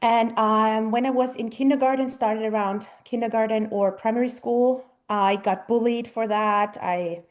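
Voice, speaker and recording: A German-born woman in her thirties, a native German speaker, answering interview questions thoughtfully with frequent pauses and ums. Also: fairly high pitch, fairly even intonation, darkish tone. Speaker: guest mother. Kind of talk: casual interview conversation.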